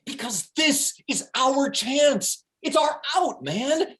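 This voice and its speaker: Male actor as cocky slacker schemer, foul-mouthed, slangy, very lively intonation, pushy, comedy table read, video-call sound. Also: extremely high pitch, takes it slowly, heavily acted. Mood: excited, persuasive. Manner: slangy, emphatic, swinging between hushed and pushy.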